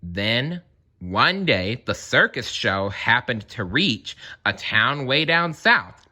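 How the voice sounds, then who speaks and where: Male children's storyteller: slow, very lively intonation, expressive, dramatic emphasis. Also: medium pitch. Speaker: storybook reader. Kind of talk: expressive children's read-aloud narration with asides.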